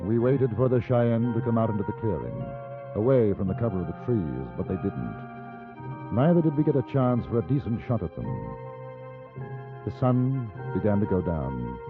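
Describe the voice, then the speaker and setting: An actor playing a calm, polite English gentleman on the frontier in a 1950s radio drama, with an English accent and a measured, understated delivery. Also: lowish voice. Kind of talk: 1950s radio drama acting and first-person narration. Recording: dull vintage 1950s radio recording, music behind.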